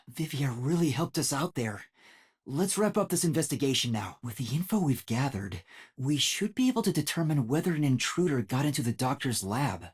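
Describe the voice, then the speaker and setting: A man's voice: medium pitch, slightly rough. A man voicing a timid, earnest young male detective trainee in a video game, hesitant and thinking aloud.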